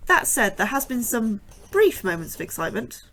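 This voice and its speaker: A woman's voice: a little high, moderate pace, slight background noise, full character voice. A woman as a wry, anxious young scientist. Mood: dry. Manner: wry, deadpan understatement. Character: anxious young female astrobiologist. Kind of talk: diary narration.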